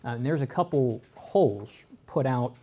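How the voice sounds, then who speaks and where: Mellow-voiced man explaining PC parts. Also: mid-range voice, lively intonation, moderate pace, slight background noise. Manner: explains patiently, tells corny dad jokes. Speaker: tech co-host. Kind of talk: casual live-stream explanation.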